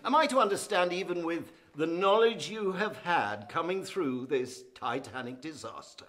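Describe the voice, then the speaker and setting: A formal, accusatory union barrister at a shipwreck inquiry in a radio drama. His voice sits fairly high and swings widely in pitch. He is dogged and insistent, pressing every point. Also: expressive, moderate pace, a bit bright. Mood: incredulous. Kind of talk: courtroom radio drama acting.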